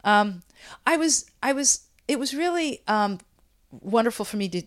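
A woman in her fifties talks in a loose, chatty way, laughing and circling back as she speaks. She sounds relaxed and unscripted. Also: fairly high pitch, unhurried. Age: 50s. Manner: chatty, laughing, rambling with restarts. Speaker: beauty brand founder. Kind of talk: casual interview chat. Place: Brooklyn.

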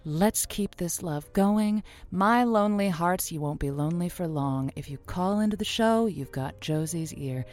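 A woman's voice: on the low side, moderate pace, hardly any pauses, darkish tone, soft background music, natural voice. A woman playing a wry late-night lonely hearts radio host in an audio drama. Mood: smooth, affectionate. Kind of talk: semi-improvised audio drama, radio call-in show patter.

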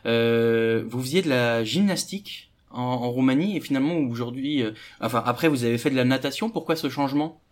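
A man's voice: medium pitch, brisk, few pauses. A man with a mid-range voice hosts an interview podcast. He asks his questions in a casual, chatty way, often restarting his sentences. The recording was made on site in a ministry office. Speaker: podcast host.

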